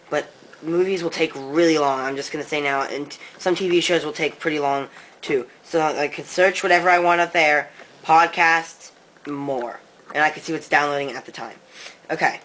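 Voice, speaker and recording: A man's voice: fairly high pitch, pushed and bright, low-quality recording. A man co-hosting a tech comparison, casually talking through a device demo. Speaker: co-host, tech reviewer. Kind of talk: casual gadget review demo.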